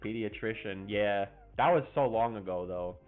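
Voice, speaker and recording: Brash, rambling young man, low-pitched. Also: normal speed, phone-quality sound, faint music behind. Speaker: streamer host. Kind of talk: casual livestream chat.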